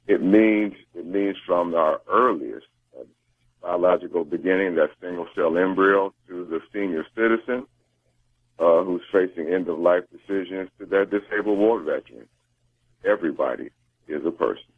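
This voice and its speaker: A man with an American accent gives interview answers by telephone. His voice is low and rough-edged, and his manner is careful and insistent. The line is muffled and thin, cutting off much of the sound. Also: moderate pace, dry recording. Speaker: interviewed campaign spokesman. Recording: muffled, band-limited phone line.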